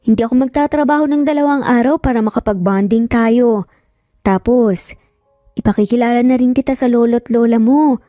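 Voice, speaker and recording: A woman voicing a calm, affectionate but firm male boss and father in a dramatised story. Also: a little high, moderate pace, no room sound, studio quality, faint music behind, close to an everyday voice. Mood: fatherly, gentle.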